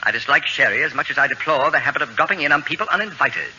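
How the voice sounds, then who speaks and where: An actor playing a haughty, fastidious middle-aged know-it-all in a radio comedy, with precise, formal, acerbic diction. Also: male voice, fairly high pitch, lively intonation, sharp tone. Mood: haughty, curt. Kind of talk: 1940s radio comedy acting. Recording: dull, noisy old radio broadcast recording.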